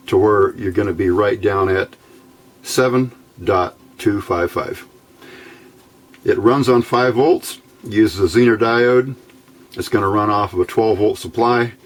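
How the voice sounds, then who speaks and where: Low-voiced man, folksy hobby tutorial. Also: unhurried. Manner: folksy, plainspoken, thinking aloud. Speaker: electronics hobbyist presenter. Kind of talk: informal hands-on build tutorial.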